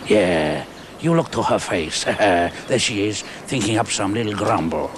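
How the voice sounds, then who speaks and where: A man's voice: mid-range voice, very animated, measured pace, gravelly. A man voicing Lorenzo, a cheeky old male llama from South America who speaks broken English and chuckles as he gossips. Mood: chatty. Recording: noisy recording.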